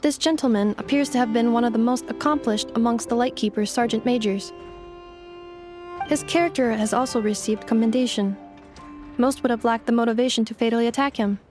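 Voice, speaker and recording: A woman's voice: a little high, brisk, a little mellow, close to an everyday voice. An actress voicing a polite, literal-minded female robot in a video game, with clipped, formal, emotionless phrasing. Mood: matter-of-fact. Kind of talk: video game cutscene voice acting. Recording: music behind the voice.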